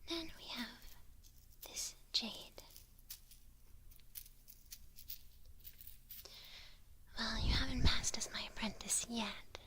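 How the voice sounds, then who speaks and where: A woman acts out a coy witch teaching an apprentice in an ASMR roleplay. She whispers slowly with a sharp, bright edge to her breath. Her manner is halting, teasing and gently patronizing. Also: a little high. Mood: hushed, instructive.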